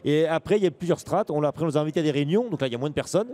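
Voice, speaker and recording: A man's voice: on the high side, very fast, dark tone. A man speaking as a local elected official in a panel discussion, emphatic, often rephrasing himself mid-sentence. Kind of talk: panel discussion, unscripted argument.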